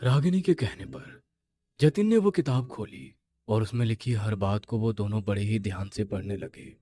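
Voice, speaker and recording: Mellow male horror storyteller. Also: on the low side, normal speed. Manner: suspenseful, dramatic phrasing. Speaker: storyteller, narrator. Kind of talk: scripted horror story narration.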